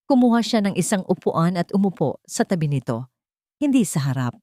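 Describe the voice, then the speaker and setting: A woman with a Filipino accent narrates a romantic audio drama in a measured, emotive storytelling manner. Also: lowish voice, big pitch swings, mellow, no room sound, studio quality.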